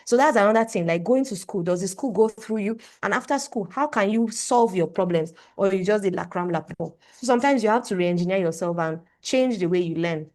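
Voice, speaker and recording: A woman's voice: mid-range voice, a little fast, hardly any pauses, a little mellow. A young woman on a video call, giving an emphatic motivational talk at a summit. She often repeats phrases and asks "right?". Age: young adult. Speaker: conference speaker. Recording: online call audio.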